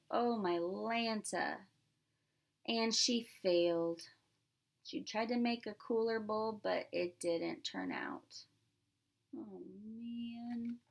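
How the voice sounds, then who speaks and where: A woman's voice: on the high side, creaky. A woman reading a picture book aloud as a pre-K teacher, expressively, with simple asides to young children.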